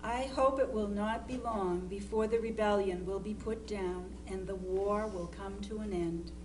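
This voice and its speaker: A woman acts as a loving mother of the Civil War era, reading a letter to her soldier son. She takes her time, with measured care and gentle worry. A faint hum sits under the recording. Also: medium pitch, fairly even intonation, natural voice, rough recording. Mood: tender concern. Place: live historical society presentation. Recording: faint hum and background noise.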